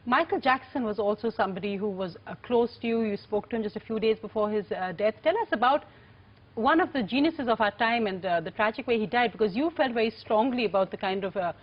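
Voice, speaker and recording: Crisp woman TV show host. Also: on the high side, quick pace. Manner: crisp, scripted introductions. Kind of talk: hosting a call-in interview show. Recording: dull lo-fi TV broadcast sound.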